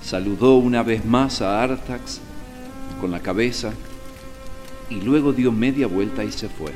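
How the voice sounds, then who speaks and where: A man's voice: fairly low pitch, measured pace. A man narrating a scripted radio drama as storyteller. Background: music and rain sounds.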